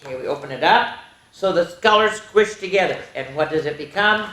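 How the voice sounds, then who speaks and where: A woman hosting a children's story time, reading a picture book aloud in an animated, sing-song, question-asking way. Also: lowish voice, fairly quick. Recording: roomy, echoey indoor sound.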